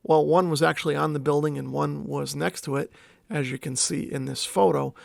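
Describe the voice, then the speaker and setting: A man's voice: a little high, steady tone, brisk, gravelly, darkish tone, dry recording, clean studio sound. A man narrating a casual vlog in an informal, explanatory way, thinking aloud as he goes. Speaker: vlogger, radio hobbyist.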